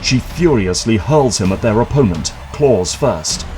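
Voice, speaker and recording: A man reading scripted dramatic narration for an audio drama in a measured, suspenseful manner. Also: fairly low pitch, animated, very noisy.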